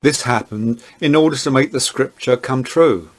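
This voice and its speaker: A man with a mid-range voice serves as narrator of a dramatized scripture reading. He reads in a measured and deliberate manner. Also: mid-range voice.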